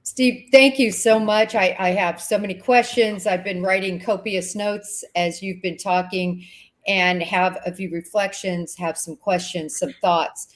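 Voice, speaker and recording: A woman hosting an interview, responding to her guest in a deliberate way, slowing down to stress key words. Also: medium pitch, steady tone. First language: English. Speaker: host. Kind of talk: conversational interview.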